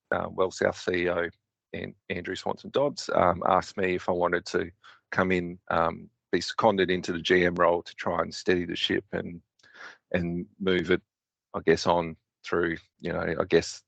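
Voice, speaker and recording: Australian man, middle-aged, very deep flat gravelly voice, dry muffled video-call sound, halting delivery. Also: no room sound. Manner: hesitant, many ums and restarts. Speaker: urgent care general manager. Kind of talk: online meeting presentation.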